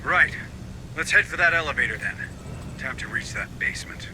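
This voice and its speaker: An actor playing a curt, commanding marine sergeant leading a squad in a sci-fi game. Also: male voice, mid-range voice, lively intonation, moderate pace, noisy background, close to an everyday voice. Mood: brisk, focused.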